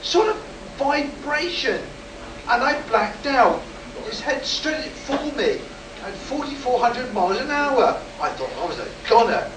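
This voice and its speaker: An actor playing a shaken, insistent test pilot in a live sci-fi radio play, with dramatic, urgent delivery. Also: male voice, very high voice, sing-song, measured pace, heavily acted. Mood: tense recounting. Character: agitated, insistent rocket test pilot shaken by an alien encounter. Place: live performance in a room at a convention. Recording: roomy, distant, noisy live convention stage recording.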